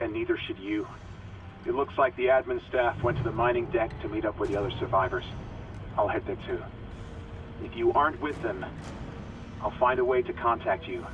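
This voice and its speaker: A man's voice: mid-range voice, muffled recording, natural voice. Shaken crewman on a noisy recording man acting. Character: shaken, bitter male spaceship crewman. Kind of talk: dramatic sci-fi game acting. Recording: noisy, slightly distant recording.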